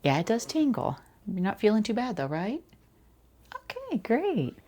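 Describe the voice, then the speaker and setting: Husky, soft-spoken woman doing ASMR. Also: medium pitch, wildly swooping pitch, a little slow. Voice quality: soft, breathy, often slipping into whisper. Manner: casual, rambling, reassuring the client. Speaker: ASMR creator playing a skincare boutique consultant. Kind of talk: quiet-talking ASMR roleplay, chatty consultation.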